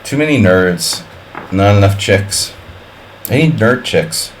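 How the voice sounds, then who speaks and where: A man with a fairly low voice thinks aloud through a chess simul on his live stream, calling out moves between wry jokes and puns. A faint hum of room noise sits under his voice. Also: animated, slow pace. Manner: wry and offhand, chatting with viewers. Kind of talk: live-stream commentary while playing a simul.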